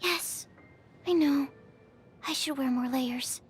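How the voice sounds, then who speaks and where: A shy young female alchemist from a video game, with a distinctly high voice. She speaks in a bookish, courteous way, earnest yet hesitant. Faint music plays behind her. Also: takes it slowly. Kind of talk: video game character voice lines.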